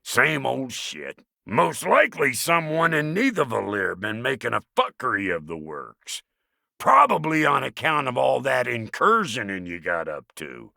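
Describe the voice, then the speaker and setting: A crusty video game dwarf blacksmith with a heavily gravelled, sharp-edged voice. He is cantankerous and cocky, slinging folksy insults, and his pitch swings wildly from low grumble to high bark. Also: huge pitch swings, unhurried, no echo, clean studio sound, heavily acted. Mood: irritable, boastful. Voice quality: gravelly, raspy. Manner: folksy rustic slang, colorful insults, swaggering. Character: gruff, foul-mouthed, cantankerous dwarf blacksmith with folksy swagger. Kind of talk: video game character acting.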